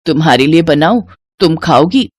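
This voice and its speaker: A caring old mother-in-law from a storybook cartoon, put on by a woman. She sounds patient and motherly, her voice dropped low and soft-edged, recorded dry and close. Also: animated, normal speed, no echo. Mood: gentle. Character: gentle, caring elderly mother-in-law with aching knees. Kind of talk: scripted Hindi moral-story cartoon voice.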